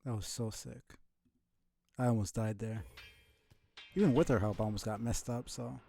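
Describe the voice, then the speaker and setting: A man's voice: on the low side, steady tone, moderate pace, gravelly, dark tone. A man streaming a video game with casual, sweary commentary. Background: faint game music.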